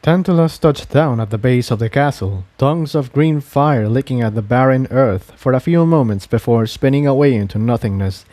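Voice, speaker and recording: A man narrates an adventure story in a mellow, relaxed voice of middling pitch. The delivery is scripted narration, and the sound is close and dry. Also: normal speed, hardly any pauses, dry recording.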